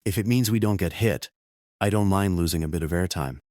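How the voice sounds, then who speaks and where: A man playing a serious, measured TV news co-anchor reporting live in a disaster drama. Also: low voice, darkish tone, no echo, clean studio sound, close to an everyday voice. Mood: questioning. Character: sober male TV news co-anchor facing catastrophe.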